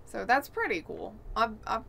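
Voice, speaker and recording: Bright, high-voiced woman on a noisy call. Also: very animated, moderate pace, gravelly. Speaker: podcast co-host. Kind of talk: casual video game chat. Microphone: online video call.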